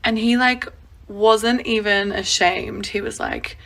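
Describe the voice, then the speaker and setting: Australian woman, casual story to camera, deadpan humor. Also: medium pitch, slow, gravelly.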